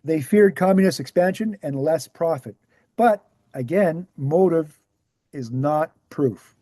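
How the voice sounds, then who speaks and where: A man reading the scripted part of a measured author who answers questions at a talk, deliberate and explanatory. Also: on the high side, mellow, dry recording. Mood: grave, explanatory. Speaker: actor in a screenplay table read. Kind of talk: scripted dialogue read aloud.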